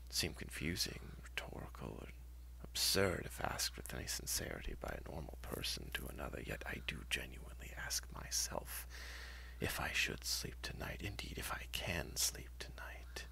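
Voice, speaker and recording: Horror story lead, played by a man: haunted, sleepless young man, tormented and halting, deep gravelly half-whisper. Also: pushed and bright, heavily acted. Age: mid twenties. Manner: tormented, halting, muttering to himself. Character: sleepless, panicked young man doubting his sanity.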